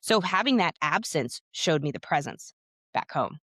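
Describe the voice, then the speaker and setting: A woman's voice: low voice, very animated, moderate pace, a bit bright, no echo, clean studio sound. Chatty Pennsylvania woman in her thirties. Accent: Pennsylvania American. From United States, Pennsylvania. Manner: chatty and talkative, full of "like" and "you know". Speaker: guest author and podcaster. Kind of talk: casual interview chat.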